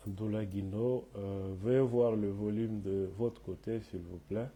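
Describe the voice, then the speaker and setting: A man, hoarse from a cold, hosting a live talk in French and Bambara with many hesitant fillers. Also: fairly low pitch, fairly even intonation, a little slow, dark tone. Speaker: host of a live online talk.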